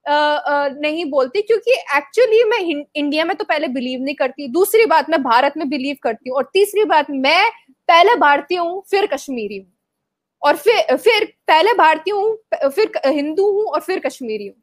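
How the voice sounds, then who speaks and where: A woman's voice: high voice, animated, moderate pace. A woman from Kashmir answering interview questions in an impassioned, argumentative way, firing rhetorical questions between frequent hesitations. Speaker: interview guest. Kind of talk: heated debate answer.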